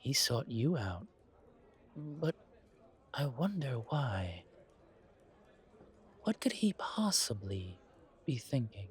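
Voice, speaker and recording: Genteel vampire survivor, male actor, audio roleplay: world-weary and wary, unhurried delivery, mid-range pitch, courtly phrasing. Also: very animated. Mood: pensive, guarded. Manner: courtly, deliberate, pausing, sighing between phrases. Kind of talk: slow audio roleplay acting, addressed to listener.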